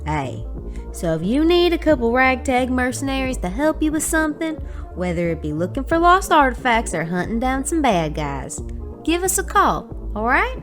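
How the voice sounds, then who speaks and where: Woman as a breezy, animated space mercenary. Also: high voice, moderate pace, faint music behind. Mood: friendly, roguish. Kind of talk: audio drama acting.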